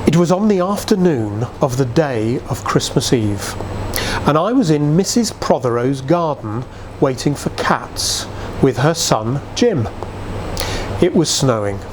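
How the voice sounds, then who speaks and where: A man reading a literary story aloud in a dramatic, measured storytelling manner. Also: medium pitch, expressive, background noise. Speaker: reader. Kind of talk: expressive literary reading of a nostalgic Christmas story.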